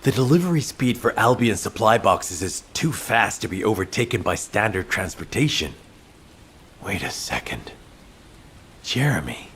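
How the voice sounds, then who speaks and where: An actor playing an earnest field commander in a video game, serious, brisk and commanding. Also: male voice, on the low side, sing-song, fairly bright tone, a little hiss or hum. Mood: urgent. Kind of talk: dramatic video game cutscene acting.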